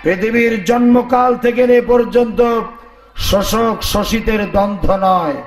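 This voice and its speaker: A male Islamic preacher delivers a fiery sermon in a very high, hoarse, straining voice. His pacing is slow and declamatory, built to draw responses from listeners. The sound echoes heavily over a PA. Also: background noise. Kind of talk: fiery sermon to a live congregation. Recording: echoey PA sound, faint crowd.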